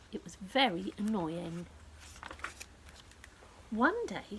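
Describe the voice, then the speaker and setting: A woman reading a picture book aloud to young children, speaking directly to them in an expressive storytelling style that often drops to a hush. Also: mid-range voice, a little hiss or hum, poor audio. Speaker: children's story reader and presenter.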